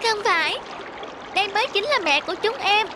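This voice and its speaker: A woman voicing a small, innocent young salmon child, clingy and pleading, in an animated fairy tale. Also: very high-pitched, fairly quick, noisy background. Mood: insistent.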